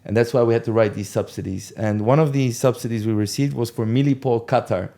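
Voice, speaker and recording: A man in his forties, a guest on an interview podcast, telling a long story in a relaxed, rambling way with many fillers and asides. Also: mid-range voice, a little fast, gravelly. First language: English. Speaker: podcast guest, company co-founder.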